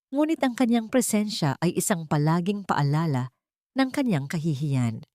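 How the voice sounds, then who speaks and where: A woman narrator reading a scripted dramatic story in a measured, solemn, emotive storytelling manner. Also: lowish voice, a little mellow, no echo, studio quality.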